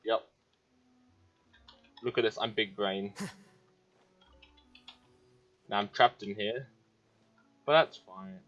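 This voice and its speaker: A man's voice: lowish voice, slow pace, long pauses, a bit bright, dull audio. A man giving casual let's play commentary as a gaming YouTuber.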